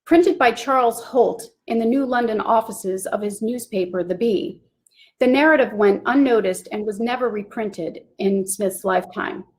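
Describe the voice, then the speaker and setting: A woman presenting a formal scripted introduction to a reading, in an even, composed manner. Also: a little high, moderate pace. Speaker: event presenter.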